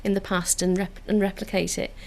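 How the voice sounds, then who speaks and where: A woman's voice: mid-range voice, flat delivery, brisk, creaky, darkish tone. A woman with a Liverpool accent, speaking in an earnest, enthusiastic way in a radio studio interview about community work. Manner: earnest, enthusiastic, with frequent filler restarts. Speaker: council community engagement officer.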